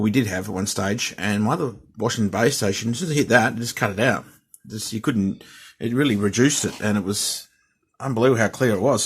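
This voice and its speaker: A man giving a casual, rambling, unscripted show-and-tell as a hobbyist, halting as he goes, with a slightly husky voice. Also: fairly low pitch. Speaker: hobbyist presenter showing a restored CB radio.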